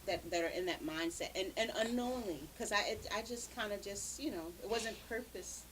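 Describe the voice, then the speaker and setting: A woman's voice: mid-range voice, brisk, poor audio. Woman host asking rambling questions. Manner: meandering questions with many fillers. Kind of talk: interview conversation.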